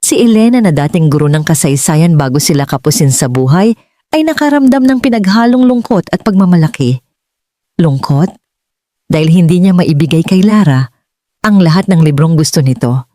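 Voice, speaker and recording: Woman, audiobook narrator, dramatic storytelling, animated intonation, soft-toned mellow voice. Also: on the low side, moderate pace, no echo, studio quality.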